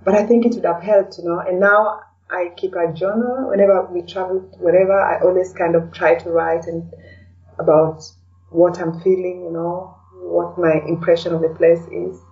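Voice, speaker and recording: A woman's voice: fairly low pitch, little pitch movement, normal speed. A woman being interviewed as a podcast guest, talking casually and hesitantly, thinking aloud with frequent "you know" tags. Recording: dull, lo-fi, distant from the mic.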